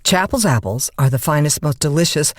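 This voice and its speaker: A woman's voice: low-pitched, lively intonation, a little fast, dry recording, studio quality. An actress playing a brash, flustered woman disc jockey on the overnight shift in a radio drama, with wisecracking, folksy, exasperated old-time patter. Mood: folksy sales patter. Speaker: radio host.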